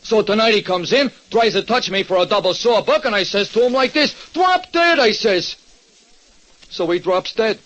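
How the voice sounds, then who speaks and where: An excitable New ex-crook turned diner owner, pitched very high. He talks in streetwise, ungrammatical slang and sounds anxious and worked up. It is 1940s radio detective drama on a muffled old recording. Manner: streetwise ungrammatical wise-guy talk. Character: Louie, excitable ex-burglar diner owner, anxious tough guy.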